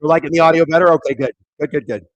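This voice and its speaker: A man with a thin, raspy, hoarse voice hosts a live hockey watchalong, chatting casually, reading viewer comments and calling plays excitedly. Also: fairly high pitch, racing, no echo, muffled recording. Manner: casual, reads viewer chat aloud; excitable play-by-play on big moments. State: hoarse, voice squeaking. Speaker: watchalong host. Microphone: streaming microphone.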